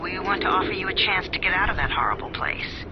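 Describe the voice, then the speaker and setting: Placating game villain, evasive, high-set voice, dull noisy transmission. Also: male voice, lively intonation, fast talker. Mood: smooth, coaxing. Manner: coaxing, evasive. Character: slippery, placating male agency handler. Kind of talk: video game cutscene acting. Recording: dull radio transmission with clear noise.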